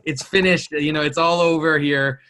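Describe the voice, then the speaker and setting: A man's voice: fairly high pitch, fairly even intonation, normal speed, sharp tone, slight background noise. A man from Quebec with a French Canadian accent, French his first language, talking things through in a thoughtful, hesitant way with many restarts. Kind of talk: casual conversation.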